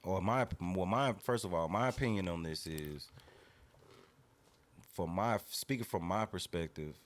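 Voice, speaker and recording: A man's voice: low voice, a little slow. A man in his thirties talking casually and earnestly as a podcast guest, opinionated and slangy. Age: 30s.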